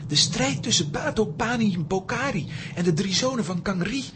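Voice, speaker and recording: A woman's voice: medium pitch, lively intonation, normal speed, background noise, lightly acted. A woman voicing a hot-tempered, earnest young boy adventurer in a children's audio drama.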